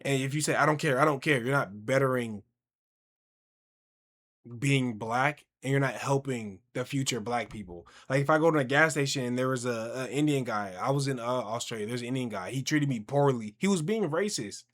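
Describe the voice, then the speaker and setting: A young man with a mid-pitched voice hosts a casual reaction commentary. He talks in an animated, conversational way with plenty of slang. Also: fairly quick. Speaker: reaction video host.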